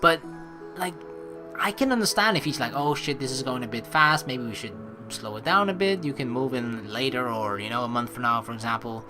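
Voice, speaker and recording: A chatty, sweary woman doing casual, expressive let's play commentary and reading narration aloud. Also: extremely low pitch, fairly quick, music in the background. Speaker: let's player.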